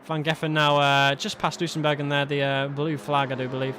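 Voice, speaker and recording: A British man with a slightly high voice commentates on an online sim race. He is colloquial and matey, slipping between race calls and jokey banter. There is faint car noise on the stream. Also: completely flat delivery, a little fast. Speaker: esports livestream race commentator. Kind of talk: live sim racing commentary with casual banter. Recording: noisy stream with car engine sound.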